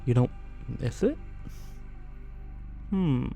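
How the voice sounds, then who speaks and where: Guy doing evil sorcerer asmr captor, silky n condescending, takes his time, soft threats, faint music behind. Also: mid-range voice, sing-song, drawn-out words, creaky, exaggerated voice. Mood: smug, probing. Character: menacing evil sorcerer warlord, falsely gentle, gloating captor. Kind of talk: fantasy ASMR roleplay acting.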